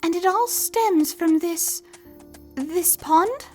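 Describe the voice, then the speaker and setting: A woman's voice: extremely high pitch, takes it slowly, faint music behind. A woman voicing a refined, caring female unicorn pony in a fan fiction audio drama, theatrical and affectionate in manner. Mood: curious disbelief.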